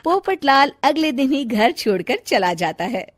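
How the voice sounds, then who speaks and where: A woman's voice: sits high, big pitch swings, unhurried. A woman narrating a scripted comic story as a storyteller.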